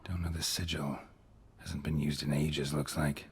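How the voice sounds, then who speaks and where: A man playing a gruff, terse monster hunter in a fantasy video game, muttering in clipped phrases with a gravelly, hushed voice. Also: rumbling low, level tone, a little slow, a little hiss or hum. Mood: muted, pondering.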